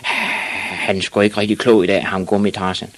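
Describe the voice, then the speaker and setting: A man voicing big, jeering schoolboy bullies in a storybook, mocking and shouting. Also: fairly low pitch, little pitch movement, rough recording.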